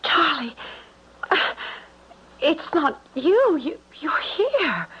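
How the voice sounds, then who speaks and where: High-voiced flustered young sweetheart. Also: female voice, very animated, very slow, fairly bright tone, full character voice. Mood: startled, flustered. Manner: hesitant, breaks off and repeats. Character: flustered, torn young sweetheart, a small-town woman. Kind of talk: radio drama acting. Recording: muffled 1970s radio broadcast.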